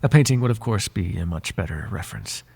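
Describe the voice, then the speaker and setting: Husky, hesitant devoted butler, acted by a man. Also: sits low, expressive, heavily acted. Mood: nervous evasion. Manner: soft-spoken, polite formal diction, hesitant and halting. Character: devoted, flirtatious male butler, secretly a lost prince. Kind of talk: ASMR roleplay voice acting, intimate one-sided dialogue.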